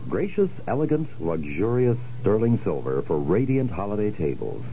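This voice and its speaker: A man's voice: low voice, dark tone. A man reading an old radio advertisement as a polished, salesy commercial announcer. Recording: dull old radio broadcast with noise.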